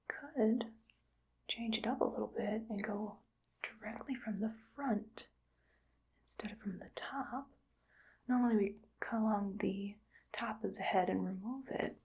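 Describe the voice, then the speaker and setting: A breathy, airy whisper carries this cheerfully callous mad scientist, as a woman acts her out in an ASMR roleplay. Her voice sits a little high with a gravelly edge, and she murmurs her thoughts aloud in a soft, close hush. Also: dull audio, full character voice.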